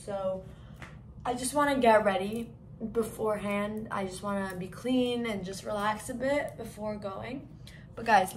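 Sick young woman with a croaky lost voice, gushing to her phone in a hotel room, little bit of hiss. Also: medium pitch. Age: young adult. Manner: bubbly, gushing, talks to viewers as guys. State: hoarse, lost voice from illness. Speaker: travel vlogger. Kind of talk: casual vlog chat to camera. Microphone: handheld phone camera at arm's length.

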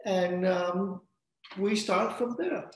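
A middle-aged man with a Vietnamese accent, a composer speaking haltingly on a video call. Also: high-pitched. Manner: halting, searching for words. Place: home room. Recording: echoey room, faint noise.

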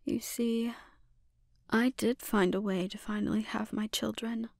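A woman voicing a calmly dominant, taunting sorceress villain in an intimate ASMR roleplay, speaking deliberately and lapsing into breathy whispers. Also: a little high, no room sound, clean studio sound. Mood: intense, resolute.

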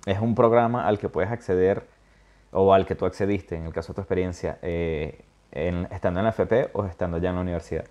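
A man with a very low voice hosts a relaxed interview, thinking aloud as he goes, with pauses, fillers and frequent check-ins to his guest. The sound is dull and lo-fi. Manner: thoughtful, hesitant, many fillers and check-ins. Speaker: interview host. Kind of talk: casual interview chat.

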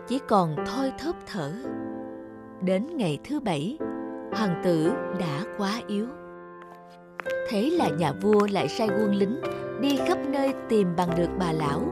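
Female storyteller, mid-pitched, scripted fairy-tale narration over a music bed. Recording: music under the voice.

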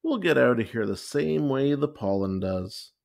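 A man's voice: mid-range voice, animated, normal speed, vocal fry, a little mellow. Man voicing a cheerful, singsong lady teacher. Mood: happy, calm. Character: cheerful, unflappable woman schoolteacher.

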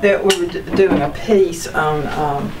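A woman's voice: low-pitched, measured pace. A middle-aged woman, a school guidance director, chatting casually in an office. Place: small school office, indoors. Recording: noisy office, room camera pickup.

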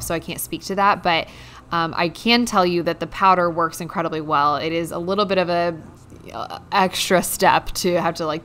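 Low-pitched woman, chatty voice-over. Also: a bit bright, a little hiss or hum. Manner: conversational, chatty. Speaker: home cleaning vlogger. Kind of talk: casual voice-over explaining chores.

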